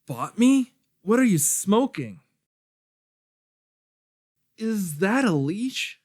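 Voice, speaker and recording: Guy doing a whiny defiant teen boy, drawn-out words, swooping all over, comic dub. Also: high voice, sing-song, a little mellow, exaggerated voice. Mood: indignant. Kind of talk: amateur webcomic dub acting.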